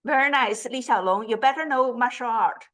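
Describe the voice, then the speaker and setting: A woman's voice: fairly high pitch, moderate pace, a bit bright. A woman hosting an online class over a video call, welcoming students in an encouraging, lightly teasing way. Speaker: class host.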